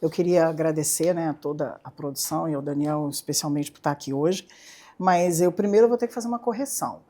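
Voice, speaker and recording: A middle-aged woman neurologist from southeastern Brazil, guesting on a podcast with a Brazilian Portuguese accent and a lively, playful way of telling her story. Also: deep voice, fast talker. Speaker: neurologist and university professor, guest. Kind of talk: casual interview chat. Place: podcast studio.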